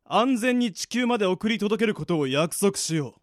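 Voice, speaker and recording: A man's voice: high voice, lively intonation, moderate pace, dry recording, studio quality. A man playing a proud ancient demon lord and magician in a Japanese radio drama, speaking in a grandiose, archaic manner. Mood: grand, solemn. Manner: grandiose archaic speech, declaiming. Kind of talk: Japanese anime-style radio drama acting.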